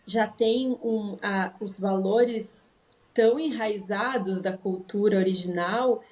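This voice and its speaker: A woman's voice: medium pitch, slow pace, darkish tone. A woman, a psychologist, explaining with frequent tag questions. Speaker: psychologist guest. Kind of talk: conversational video call discussion.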